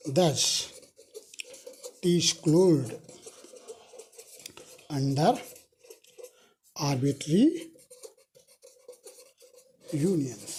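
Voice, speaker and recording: A woman lecturing on mathematics, slowly dictating each proof step and repeating key phrases, correcting herself when she slips. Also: sits low, dark tone, a little hiss or hum. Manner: slow, repetitive dictation of proof steps; self-correcting, apologetic on slips. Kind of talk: recorded university lecture, mixing Hindi and English.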